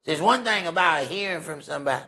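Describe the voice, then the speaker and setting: A man with a gravelly, husky voice leads a Bible study in conversational sermon style, emphatic and folksy, often posing rhetorical questions. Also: fairly high pitch, animated, fast, pushed and bright. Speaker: preacher leading a Bible study. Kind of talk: conversational sermon with scripture commentary.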